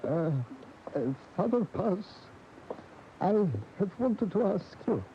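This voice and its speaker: An actor playing a devout, solicitous priest who loves bullfighting, speaking with reverent deliberation in a radio drama. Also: male voice, sits high, slow. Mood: concerned. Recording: dull, noisy 1980 AM radio recording.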